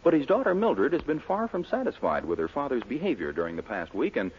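A man's voice: medium pitch, big pitch swings, fast, a little hiss or hum. An American man announcing from a script on 1940s radio, emphatic and polished. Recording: muffled 1940s radio recording.

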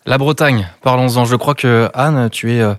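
A man's voice: mid-range voice, fairly even intonation, moderate pace. A man from Brittany hosting a conversation with guests, upbeat, with playful game-show asides. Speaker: podcast host. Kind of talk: hosted conversation.

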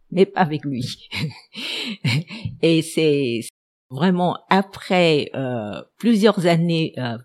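A woman's voice: sits low, dark tone, husky. An older woman whose first language is Vietnamese, a historian telling her childhood memories in a reflective way with many hesitations. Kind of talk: personal memoir storytelling.

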